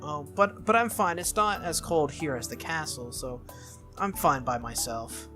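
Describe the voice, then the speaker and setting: A man's voice: a little high, measured pace, music in the background, natural voice. A man voicing an innocent, cheerful little girl from a noble family, childlike and eager, in a visual novel read-through. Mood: earnest.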